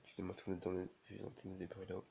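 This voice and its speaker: A man with a cold commentating his own video game match in a casual, halting way, full of fillers. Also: sits low, completely flat delivery, creaky, dark tone, a little hiss or hum. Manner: halting, many fillers and restarts. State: has a cold, sneezing. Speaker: gaming video creator.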